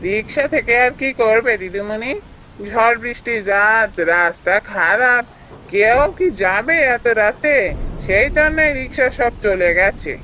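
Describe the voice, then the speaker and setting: Cartoon village shopkeeper male actor: folksy, discouraging, high sharp voice, noise behind. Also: unhurried, poor audio. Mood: cautioning. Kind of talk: animated cartoon story acting.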